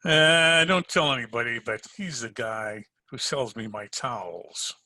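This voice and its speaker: A man's voice: mid-range voice, measured pace, sharp tone, no echo. A man playing a wisecracking, sardonic private detective in an old-time radio drama, slangy and needling. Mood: mocking. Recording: home recording over video call.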